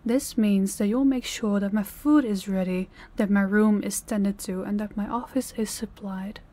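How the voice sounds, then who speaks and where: Woman doing a cold controlling mafia boss lady for a roleplay audio, dark mellow voice, talks down to you, bossy and deliberate. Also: medium pitch, fairly even intonation, close to an everyday voice. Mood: condescending, strict. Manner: commanding, condescending, deliberate. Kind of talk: roleplay audio acting, addressing the listener.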